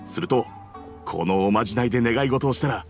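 A man's voice: medium pitch, fairly quick, darkish tone, close to an everyday voice. A man playing an eager, money-minded gossiping townsman in an anime game. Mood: eager, confiding. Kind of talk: Japanese anime game voice acting. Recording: background music under the voice.

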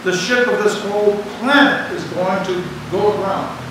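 A man's voice: high voice, normal speed. Older man preaching in an echoey church. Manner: dramatic, acts out imagined dialogue, rises to fervent exhortation. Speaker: bishop preaching. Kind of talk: sermon. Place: church sanctuary before the altar. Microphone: distant camera mic. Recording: echoey church from far off, background noise.

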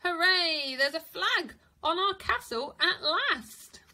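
A woman voicing a hearty, excitable storybook dad who exclaims jubilantly. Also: very high-pitched, slow, voice pushed up, exaggerated voice, poor audio.

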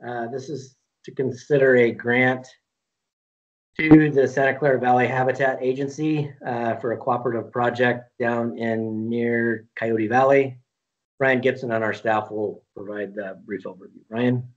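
Man running a board meeting, brisk and procedural, lo-fi call audio. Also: medium pitch, steady tone. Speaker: meeting chair. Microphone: video call. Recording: dull lo-fi video call audio.

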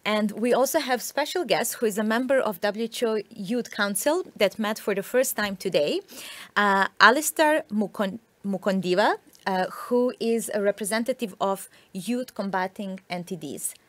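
A woman's voice: mid-range voice, normal speed, fairly bright tone. A young woman hosting a live studio Q&A, asking questions politely with some hesitation. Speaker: host.

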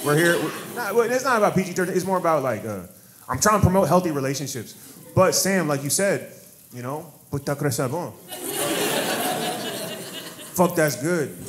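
A man's voice: on the high side, lively intonation, normal speed, long pauses. An American man doing stand-up crowd work on stage, riffing loosely with lots of profanity, laughter and restarts. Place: comedy club stage. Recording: live club recording with noisy background.

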